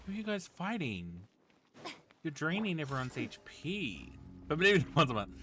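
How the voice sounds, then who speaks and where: A man's voice: on the high side, huge pitch swings, moderate pace, over music. A man chatting casually and giggly while live-streaming a game, reacting as he plays.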